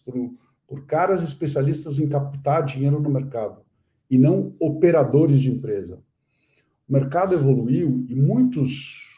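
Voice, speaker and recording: A man's voice: a little high, warm tone. A middle-aged man from Brazil, a guest investor talking earnestly in a live interview, with many fillers and restarts. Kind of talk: casual live interview chat.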